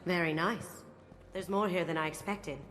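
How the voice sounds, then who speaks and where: Woman acting a low-voiced, sly master thief. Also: fairly even intonation, normal speed, a bit bright, lightly acted. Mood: focused. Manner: cool, playful, teasing. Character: sly, confident female master thief planning a heist. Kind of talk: video game cutscene acting.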